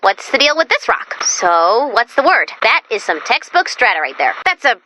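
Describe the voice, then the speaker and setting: Video game robot sidekick, female: very high, bright, nerdy and eager, quirky delivery. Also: sing-song, moderate pace, slight background noise, low-quality recording. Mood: curious, upbeat. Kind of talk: video game character voice lines.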